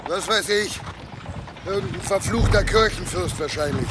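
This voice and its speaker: An actor playing a brash, defiant heathen woodcutter in a German audio drama, cocky and irreverent. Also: male voice, high voice, moderate pace, very noisy, lightly acted.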